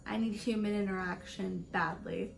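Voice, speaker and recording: A woman in her late twenties with a raspy voice, chatting casually through a makeup tutorial and rambling between stories with laughs. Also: medium pitch, normal speed. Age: late 20s. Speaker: beauty vlogger.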